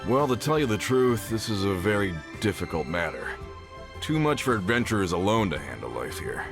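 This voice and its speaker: A man's voice: fairly low pitch, very animated, a little fast, loud music behind the voice. A man voicing a hearty, shrewd middle-aged mining foreman in a video game, with a folksy, avuncular manner. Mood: explaining.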